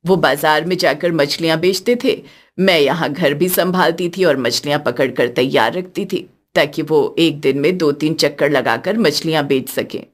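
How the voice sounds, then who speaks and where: A woman voicing an elderly, wise and affectionate village mother-in-law in a cartoon story, speaking in a measured, earnest way. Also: deep voice, voice pushed down. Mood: proud, reminiscing.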